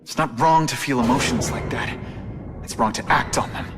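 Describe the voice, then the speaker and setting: A man's voice: medium pitch, moderate pace, noisy background, natural voice. An actor playing a young male superhero in a video game, earnest and reproving with dry wisecracks. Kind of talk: video game hero voice acting.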